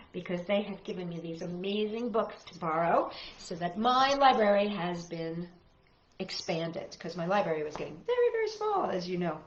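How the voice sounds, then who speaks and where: A woman hosting a children's storytime, gently chatting and reading aloud to young viewers with encouraging little questions. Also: mid-range voice, slight background noise. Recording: roomy echo, slightly dull sound, guitar music.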